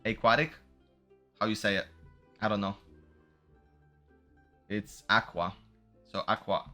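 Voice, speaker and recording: A man's voice: on the low side, fairly even intonation, slow pace, bright, forceful tone. A man streaming a game, chatting casually and informally with slangy live commentary. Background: game music.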